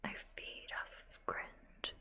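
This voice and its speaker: A woman hosting an ASMR livestream, chatting softly to viewers in a breathy whisper with unhurried asides. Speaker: livestream host, ASMR artist.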